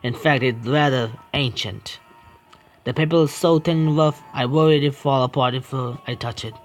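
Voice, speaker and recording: A man's voice: mid-range voice, faint music behind. Jittery man reading a horror game aloud. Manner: halting, stumbling reading, scared outbursts at the game. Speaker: gaming streamer. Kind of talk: reading a horror visual novel aloud with reactions.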